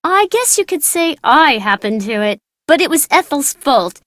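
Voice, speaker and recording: A high, childlike voice for a bookish young girl in a video game. She is precocious and playful, with a matter-of-fact confidence. The sound is very dry and clean of any room. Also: very animated, normal speed, fairly bright tone, no echo. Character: bright, cheeky, bookish young girl who loves games. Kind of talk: video game character voice acting.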